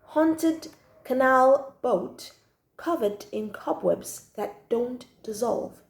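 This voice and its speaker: A woman's voice: a little high, animated, drawn-out words, vocal fry. A woman reading a children's story aloud expressively, drawing out key words for emphasis.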